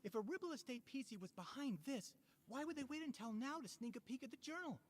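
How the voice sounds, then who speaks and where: A woman's voice: high-pitched, expressive, fast talker. A woman playing a proud, earnest, easily indignant character in a video game, explaining things emphatically.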